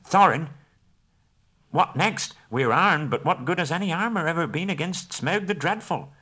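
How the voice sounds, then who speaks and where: A man reading aloud and voicing a timid, fussy male hobbit with a high, fretful voice that grows breathless when he is scared. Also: animated, normal speed, bright, forceful tone. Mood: uneasy.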